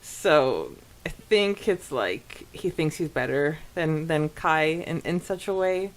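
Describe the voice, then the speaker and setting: Female co-host, unhurried and very animated in pitch, agreeable casual conversation. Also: rough recording. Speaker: podcast co-host. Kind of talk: casual chat, reacting to co-host.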